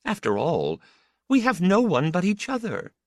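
Casting: a man to play an elderly storybook mother, pitched high, soft and exultant, soothing with a possessive pull. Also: wildly swooping pitch, normal speed, no room sound, clean studio sound, exaggerated voice. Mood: soft coaxing.

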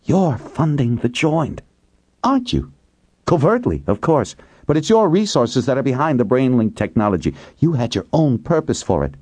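A man's voice: medium pitch, big pitch swings, moderate pace, darkish tone, breathy edge, no room sound, voice pushed up. A man voicing an earnest, accusing young woman in an audiobook, pointed and insistent.

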